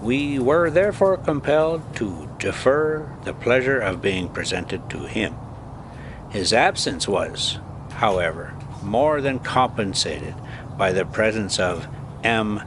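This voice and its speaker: An older man with a low voice reads a storybook aloud at a slow, deliberate pace. His delivery is dramatic and swings widely in pitch, with emphatic pauses. There is clear background noise behind him. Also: expressive. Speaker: storyteller reading a book aloud. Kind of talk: expressive storybook reading with casual asides.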